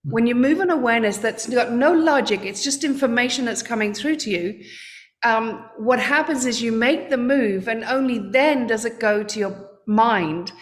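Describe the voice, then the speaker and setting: Woman guest, earnest and halting, slightly high voice. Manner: earnest, searching for words, repeats herself. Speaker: guest, trading coach. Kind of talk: podcast interview.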